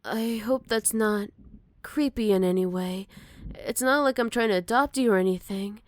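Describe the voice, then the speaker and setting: A woman voicing a gentle, motherly older werewolf, wistful and protective, in a soft and caring ASMR roleplay. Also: mid-range voice, lightly acted. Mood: tender, nurturing. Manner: soft, caring, unhurried, slipping into whispers. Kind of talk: ASMR roleplay, intimate one-sided dialogue to listener.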